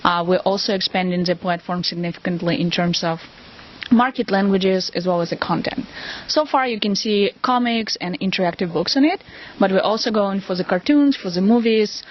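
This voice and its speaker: Female company spokesperson with a Russian accent, medium-pitched, informal and hesitant, on lo-fi audio. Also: normal speed. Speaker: company representative being interviewed. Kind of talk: casual product interview. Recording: dull lo-fi audio.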